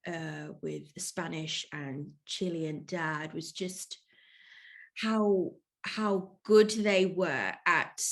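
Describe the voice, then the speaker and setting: Thoughtful young London-born woman. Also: medium pitch. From England, London. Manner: thoughtful, hesitant, many fillers, laughs often. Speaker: podcast guest, yoga teacher. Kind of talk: casual interview chat.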